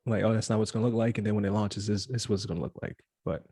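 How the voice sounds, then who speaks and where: Podcast guy chatting loose, mellow dark voice, dry sound, lots of uhs and jokes. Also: fairly low pitch, steady tone, racing, breathy edge, dry recording. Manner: joking, rambling with many fillers and restarts. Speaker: podcast co-host. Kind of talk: casual chat.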